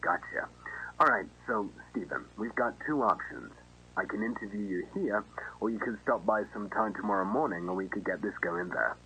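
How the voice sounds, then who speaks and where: Bright, chatty restaurant manager, acted by a man. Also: fairly low pitch, normal speed, breathy edge, heavily acted, poor audio. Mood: friendly, businesslike. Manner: friendly, casual, reassuring. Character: chatty, easygoing restaurant manager interviewing a job applicant. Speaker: voice actor in an analog horror video. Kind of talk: acted phone conversation. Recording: faint noise and hum.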